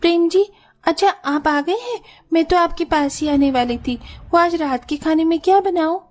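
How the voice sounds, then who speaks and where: A woman's voice: very high-pitched, moderate pace. A woman voicing a breezy, easygoing young daughter-in-law who casually makes excuses in a moral story. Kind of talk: animated moral story dialogue.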